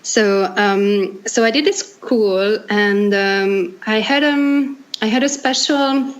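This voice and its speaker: A woman in her thirties from Hungary with a Hungarian accent, a non-native English speaker telling her story as an interview guest in an earnest, halting way with many restarts. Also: medium pitch, highs cut off. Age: 30s. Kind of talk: casual interview chat.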